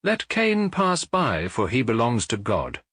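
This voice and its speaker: A man's voice: mid-range voice, wildly swooping pitch, unhurried, a bit bright, breathy edge, dry recording, studio quality, full character voice. A man voicing a small, sorrowful priest detective in an audiobook, solemn, earnest and imploring.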